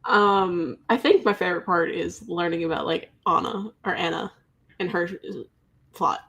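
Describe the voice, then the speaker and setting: A woman's voice: fairly low pitch, moderate pace, fairly bright tone, slightly rough. A woman talking casually as she shares opinions in a book discussion. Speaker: guest or co-host in book discussion.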